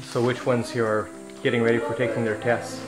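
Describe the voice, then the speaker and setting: A man's voice: medium pitch, flat delivery, brisk, over loud music, rough recording. A man, interviewing people casually on camera in an informal, prodding, teasing way.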